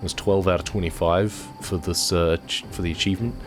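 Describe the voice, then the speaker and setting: A man chatting casually as he streams a trucking game, his voice hoarse and nearly gone after a cold. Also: low voice, brisk, soft background music. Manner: relaxed, chatty, talks to himself while driving. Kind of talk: casual gameplay commentary.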